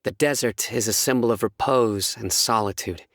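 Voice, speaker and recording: An actor playing a solemn, stern young enforcer of justice in a video game, speaking in a measured, formal, deadpan way. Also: male voice, fairly low pitch, dry recording, clean studio sound. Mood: solemn, reflective. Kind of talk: scripted video game character voice lines.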